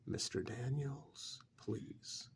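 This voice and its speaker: A man playing a mild, officious tax auditor in a soft-spoken ASMR role-play, with breathy, hesitant, politely bureaucratic delivery. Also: medium pitch, fairly even intonation, very slow. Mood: grave, calmly firm. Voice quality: breathy, whispery, creaky.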